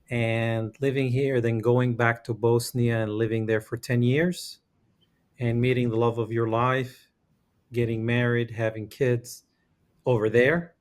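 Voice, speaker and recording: A man's voice: mid-range voice, level tone, unhurried, darkish tone. A man hosting an interview podcast, greeting and questioning his guest in a friendly, welcoming way. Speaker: podcast host. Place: Georgia, US.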